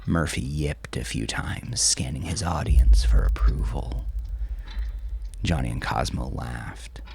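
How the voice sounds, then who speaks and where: A man's voice: extremely low pitch, slow pace, creaky, a little mellow, a little hiss or hum. A man narrating a scripted story in a dramatic style.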